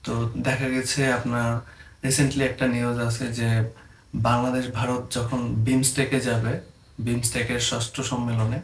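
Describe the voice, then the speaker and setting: Even-toned Bangladeshi man in an echoey room. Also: medium pitch, normal speed. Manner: explanatory, mixes in English terms. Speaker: presenter explaining diplomatic terms. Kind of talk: informal explainer lesson. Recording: echoey room, faint background noise.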